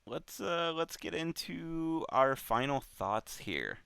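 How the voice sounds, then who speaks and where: Slow-talking man with a bright, clear voice. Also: fairly high pitch, expressive, no room sound. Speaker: host of a book club show. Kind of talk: casual unscripted book discussion.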